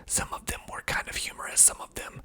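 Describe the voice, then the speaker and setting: A man speaking in a breathy, husky ASMR whisper, reading aloud and adding deliberate, dry asides. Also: bright, forceful tone. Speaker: filmmaker hosting his own video.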